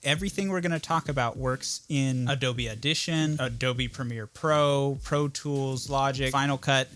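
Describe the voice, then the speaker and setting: A man's voice: mid-range voice, normal speed, a bit bright, clean tone. A man with a nasal voice hosting an audio editing tutorial, explaining things step by step in a casual, plain-spoken way.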